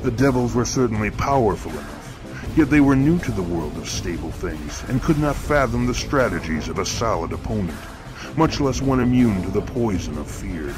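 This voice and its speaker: An actor playing a grim, eloquent killer who narrates horror fiction in a measured, florid, theatrical manner. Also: male voice, on the low side, big pitch swings, poor audio. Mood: grim relish. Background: music.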